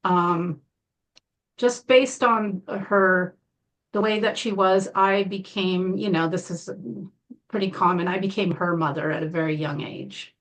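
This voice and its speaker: Calm low-voiced woman recounting her past. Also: rough recording. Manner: calm, measured, frequent pauses and fillers. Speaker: guest. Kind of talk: interview, personal account.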